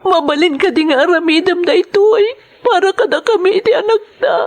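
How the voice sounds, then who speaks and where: A woman's voice: very high voice, brisk. A woman with an Ilocano accent playing a worried, earnest wife of a sick man in an audio drama. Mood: pleading.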